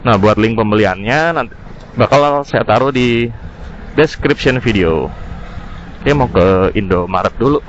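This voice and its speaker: A man's voice: fairly low pitch, lively intonation, measured pace, long pauses. A man casually reviewing gear in an informal way, mixing in English terms, recorded on a helmet intercom mic while riding. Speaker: motovlogger. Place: outdoors, riding a scooter in city traffic. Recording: dull intercom audio with faint vehicle noise. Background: faint traffic and engine noise.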